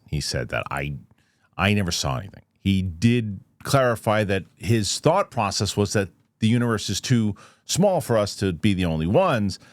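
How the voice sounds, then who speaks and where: A man's voice: fairly low pitch, no room sound, studio quality. A man hosting a news show, speaking in a conversational, loosely rambling commentary style. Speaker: host of a UFO news show. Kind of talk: casual news commentary with host-read ad.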